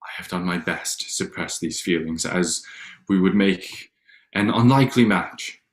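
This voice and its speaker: A man acts out a proud, wealthy young gentleman of Regency drama. The voice is low and rings in an echoey room. He speaks stiffly and formally, haltingly, like an awkward suitor. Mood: ardent, strained. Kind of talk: staged reading of a period drama. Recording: echoey room over online call.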